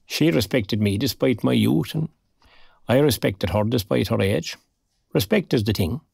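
An actor playing a dry, proud but kindly older retired man in an audio drama, with an Irish accent and a gravelly voice. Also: mid-range voice, normal speed, a little mellow, no echo. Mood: reflective. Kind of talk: naturalistic audio drama dialogue.

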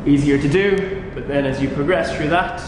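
A young man, a physiotherapist, giving an informal, halting exercise demonstration while explaining each movement. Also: on the high side. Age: young adult. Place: large indoor gym studio with wooden floor. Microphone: distant camera microphone. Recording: very echoey, noisy hall, far from mic.

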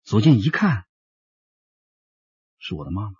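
Radio drama detective: earnest, steady, husky voice with lively intonation, dry slightly dull recording. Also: male voice, fairly low pitch, measured pace, dry recording, heavily acted. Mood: pensive. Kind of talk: Mandarin radio drama acting.

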